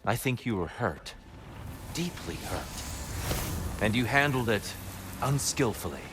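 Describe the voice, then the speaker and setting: An actor playing a calm, wise male god mentoring a warrior in a video game, measured and counseling. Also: mid-range voice, music in the background, close to an everyday voice.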